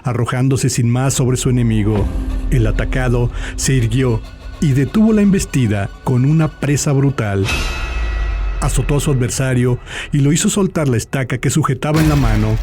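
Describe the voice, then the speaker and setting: A man reading dramatic scripted audiobook narration. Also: mid-range voice. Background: background music.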